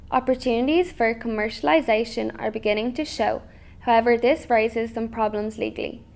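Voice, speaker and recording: A woman narrates scripted educational material in a fairly high voice. There is a pronounced creak in her tone. She presents formally, reading in a measured, orderly manner.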